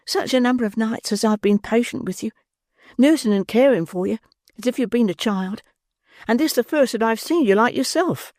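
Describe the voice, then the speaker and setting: A man voicing a pale, worn young woman who speaks softly and pleads tenderly, raising his voice high for her. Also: very animated, a little fast, no room sound, clean studio sound. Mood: pleading.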